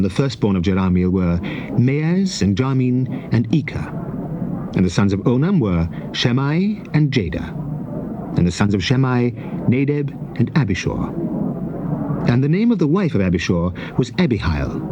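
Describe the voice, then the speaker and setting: A man narrating scripture in a solemn, measured, declamatory manner, as in a dramatized King James Bible reading. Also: mid-range voice, muffled recording. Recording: noisy old cassette tape recording.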